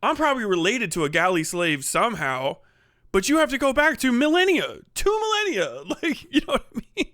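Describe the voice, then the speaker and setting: A man doing a casual, profane comedic rant on a livestream, animated and slipping into mocking impressions. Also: very high voice, brisk, dry recording, studio quality. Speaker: streamer.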